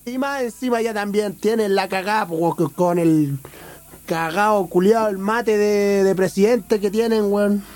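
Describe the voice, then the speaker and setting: A Chilean man with a hoarse, worn throat, presenting a music segment on radio in casual, sweary slang. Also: high voice, steady tone, unhurried, a little mellow. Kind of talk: casual music radio chat with band introductions.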